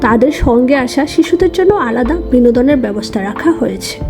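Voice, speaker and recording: A woman's voice: sits high, animated, fairly quick, music in the background. A woman with a Bangladeshi accent narrating a casual family travel vlog in voice-over.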